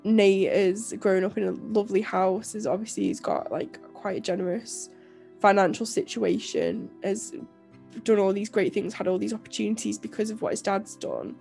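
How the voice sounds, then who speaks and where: A young woman co-hosting a podcast, croaky and nasal from illness, rambling casually with lots of fillers and restarts. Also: mid-range voice, normal speed, darkish tone, soft background music. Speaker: podcast co-host and author. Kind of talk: casual chat.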